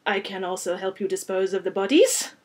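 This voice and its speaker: A woman's voice: medium pitch, brisk, natural voice. A woman voicing a gallant, formal male vampire count with a put-on French accent, in a dramatic storybook reading.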